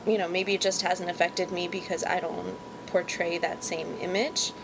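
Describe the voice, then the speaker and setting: A woman's voice: level tone, normal speed. A woman born in Pakistan, co-hosting a podcast and talking candidly and casually, often with a laugh. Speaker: podcast co-host. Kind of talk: casual conversation. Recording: noisy amateur recording with rumble.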